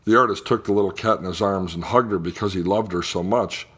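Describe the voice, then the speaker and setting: A man reading a children's picture book aloud in an expressive storytelling style. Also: low-pitched, a little fast, husky. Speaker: storybook reader.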